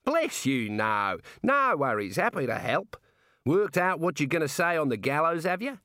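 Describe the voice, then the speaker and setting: Guy doing a chirpy aussie jail warder, matter of fact and chatty, higher voice, dry close sound. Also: very animated, normal speed, sharp tone, no room sound. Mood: cheerful, obliging. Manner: folksy colloquial Australian-style slang. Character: cheerful, matter-of-fact male prison warder, chatty and obliging. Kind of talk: comic audiobook character voice.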